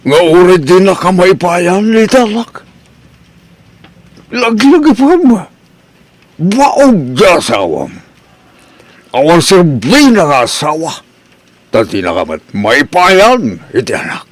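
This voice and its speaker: A man's voice: sits high, big pitch swings, slow, pauses often, no room sound, exaggerated voice. A man playing a lecherous, domineering, volatile older relative in an Ilocano radio drama, with an Ilocano accent. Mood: goading.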